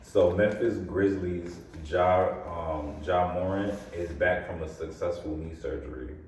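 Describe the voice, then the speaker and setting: A man's voice: low voice, a little slow. A man hosting a podcast, chatting casually and telling stories with lots of laughter. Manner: animated storyteller, laughs often. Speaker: podcast host. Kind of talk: casual chat. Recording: echoey room from far off, faint noise.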